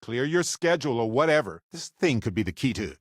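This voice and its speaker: A male actor playing a wry, warm-hearted space explorer companion in a video game, with a wisecracking, conversational delivery. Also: medium pitch, sing-song, brisk, dry recording, clean studio sound, lightly acted. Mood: exasperated.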